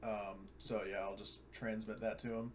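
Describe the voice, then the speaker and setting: A man's voice: lowish voice, flat delivery, moderate pace. A man playing in a tabletop roleplaying game, switching casually between narrating and speaking in character.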